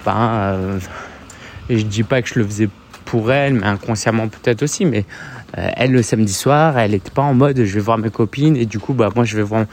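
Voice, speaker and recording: A man's voice: lowish voice, a little fast, darkish tone, slight background noise. A man in his thirties from France with a French accent, talking casually to camera as a coach, hesitant and chatty with lots of fillers. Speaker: business coach. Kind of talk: casual vlog monologue.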